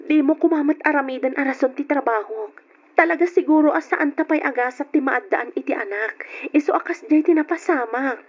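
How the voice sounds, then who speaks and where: Woman acting high-pitched young radio-drama wife. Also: fairly quick, close to an everyday voice. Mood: gentle, reassuring. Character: expectant wife, soft, reassuring, attached to her job. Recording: dull lo-fi radio drama audio.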